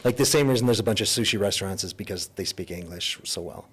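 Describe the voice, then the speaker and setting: A man's voice: on the low side, fast, slightly rough. A middle-aged American man in a casual podcast chat, rambling with lots of fillers and restarts. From United States. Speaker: podcast guest, entrepreneur.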